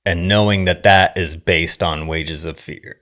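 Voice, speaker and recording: Male film podcast co-host: low-pitched, loose and rambling, thinks aloud in unfinished phrases. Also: steady tone, fairly bright tone. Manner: halting, rambling, trailing off mid-thought. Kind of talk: casual film discussion.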